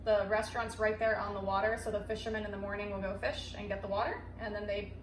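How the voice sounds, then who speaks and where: Fast-talking young American woman, echoey recording. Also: mid-range voice, level tone. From United States. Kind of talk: casual chat. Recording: echoey room, distant mic, noticeable noise.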